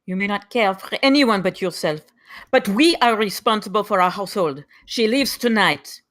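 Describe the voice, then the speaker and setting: A woman's voice: medium pitch, lively intonation, normal speed, fairly bright tone, no room sound, studio quality, close to an everyday voice. A woman playing a proud, defiant noblewoman, speaking formally and with measure in a script table read. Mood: cold. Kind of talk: live table read of a screenplay.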